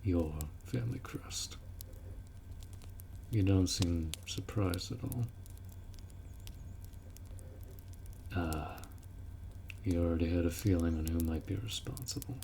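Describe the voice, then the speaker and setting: A man's voice: low voice, unhurried, gravelly, pauses often, mellow, a little hiss or hum. A man voicing a blunt, protective orc warrior who speaks informally and firmly in a roleplay audio drama. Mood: grave, guarded, then sympathetic, reassuring. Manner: blunt, informal, firm, instructing.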